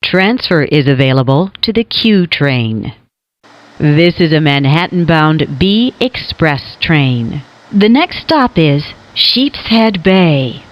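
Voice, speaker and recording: A woman's voice: low voice, sing-song, slow pace. A woman reading scripted subway train announcements, heard on a dull lo-fi playback.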